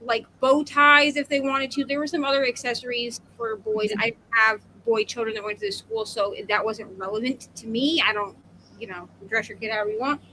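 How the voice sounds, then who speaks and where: A woman's voice: fairly high pitch, steady tone, brisk, bright, forceful tone, a little hiss or hum, rough recording. A woman in her thirties co-hosting a casual book discussion, talking in a rambling, opinionated way with emphatic asides. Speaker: co-host of a book readalong live show.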